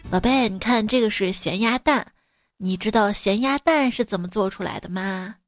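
A woman's voice: mid-range voice, normal speed, no room sound. A woman speaking as a mother and comic storyteller, in playful, coaxing scripted chat addressed to a child. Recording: background music under the voice.

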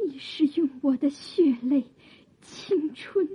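A woman acting a young, wronged imperial consort in an audio drama, bitter, proud and defiant, with breathy, drawn-out tragic delivery. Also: high-pitched, dark tone. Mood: anguished, bitter.

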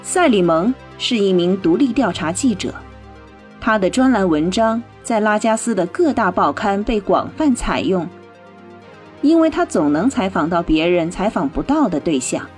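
A woman narrating a scripted radio drama in a clearly phrased storytelling manner. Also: mid-range voice, expressive, normal speed, a little mellow. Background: music.

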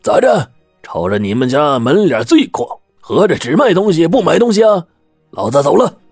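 A man voicing a brash, gruff old hustler who shouts curt demands. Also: medium pitch, sing-song, quick pace, no echo, clean studio sound, soft background music, pitched above the performer's own voice. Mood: gruff bossy.

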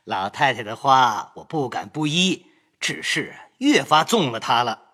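A man's voice: mid-range voice, big pitch swings, measured pace, breathy edge, pitched above the performer's own voice, heavily acted. Man voicing a sheepish young nobleman. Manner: sheepish, laughing softly, placating. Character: sheepish young nobleman apologizing with nervous laughs.

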